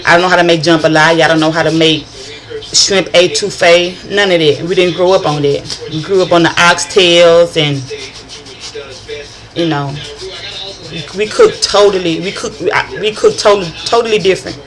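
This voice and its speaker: A woman's voice: lowish voice, fairly even intonation, normal speed, a crowd in the background. A middle-aged woman from northern Louisiana with a country accent, rambling in a chatty vlog monologue and often restarting her sentences. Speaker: vlogger.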